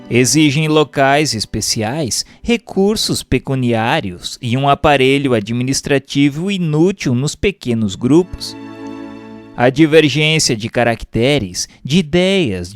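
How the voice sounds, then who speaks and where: A Brazilian man reading a written text aloud as a formal, measured, expressive narration. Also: fairly high pitch. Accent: Brazilian Portuguese. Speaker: reader of a Spiritist text. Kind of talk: scripted audiobook-style narration. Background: background music.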